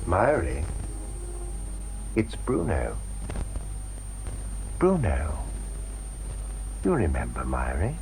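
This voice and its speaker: Grave British former patient; very low, slow, earnest delivery, radio drama over noisy background. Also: male voice, a little mellow, full character voice. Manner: halting, earnest, deliberate.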